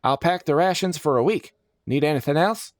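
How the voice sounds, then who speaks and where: A man voicing an old male guild clerk, brisk, clipped and businesslike, in a storybook. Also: fairly high pitch, no room sound, studio quality, voice pushed up, lightly acted. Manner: matter-of-fact, clipped listing. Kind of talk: storybook character dialogue.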